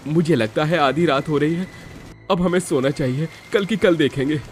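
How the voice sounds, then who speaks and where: A man's voice: on the high side, moderate pace, faint music behind, voice pushed up, natural voice. A man voicing a poor, worried but caring young husband in dramatized storybook dialogue. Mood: relieved, pleased.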